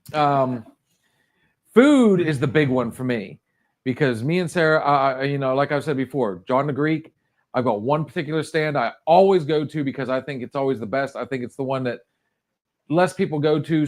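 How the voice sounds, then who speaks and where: A man in his forties with a middle-pitched voice chats casually as a podcast co-host. He rambles, circles back and restarts his sentences often. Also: normal speed. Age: 40s. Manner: rambling, with many restarts and fillers. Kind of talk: casual chat.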